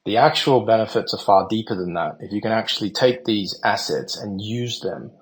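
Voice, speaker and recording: Male panelist, confident, fluent explainer, low pitch. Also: moderate pace. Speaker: panel guest, company founder. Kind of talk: online audio panel discussion.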